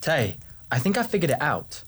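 A man's voice: fairly low pitch, moderate pace, close to an everyday voice. A man playing a thoughtful, melancholy, somewhat tentative warrior in a fantasy story. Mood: tense, tentative. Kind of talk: dramatised fantasy story dialogue.

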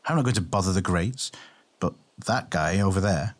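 A man voicing a brash, hot-tempered young male warrior in an audiobook, blunt, quick and excitable. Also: low-pitched. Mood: cocky. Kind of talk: acted audiobook dialogue.

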